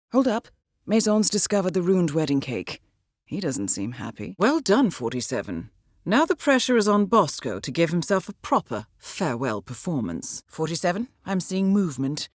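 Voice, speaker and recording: An actress playing a composed, coolly professional intelligence handler who guides an assassin in a video game, measured and dryly wry. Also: female voice, on the low side, no room sound, clean studio sound. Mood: wry, approving. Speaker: mission handler. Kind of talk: scripted video game mission briefing.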